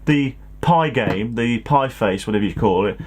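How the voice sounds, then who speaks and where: A man's voice: mid-range voice, expressive, moderate pace, breathy edge. A man with a British accent co-hosting in casual comic banter. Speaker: co-host.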